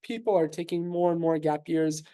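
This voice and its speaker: A man in his thirties from New York City whose first language is Russian, a physician guest answering interview questions in a thoughtful, measured way with frequent fillers and restarts. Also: fairly high pitch, steady tone, warm tone, smooth.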